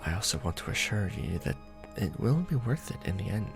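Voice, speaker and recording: A man playing a courtly, protective, brooding vampire lord in a soft, formal ASMR romantic roleplay. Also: fairly low pitch, sing-song, gravelly, over music. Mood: soothing, protective. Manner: formal, archaic wording, slow and hushed.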